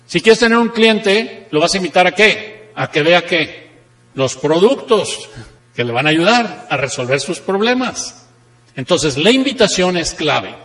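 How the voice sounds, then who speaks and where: A man with a northern Mexican accent speaks as a seminar trainer in a reverberant hall. His voice sits fairly high, and he drives points home with rhetorical questions and emphatic repetition. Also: animated, a little slow, a bit bright. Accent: northern Mexican Spanish. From Monterrey, Mexico. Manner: rhetorical questions, repeats key phrases with emphasis. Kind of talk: motivational seminar talk with anecdotes. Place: seminar room, speaking to an audience. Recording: echoey hall with long reverb.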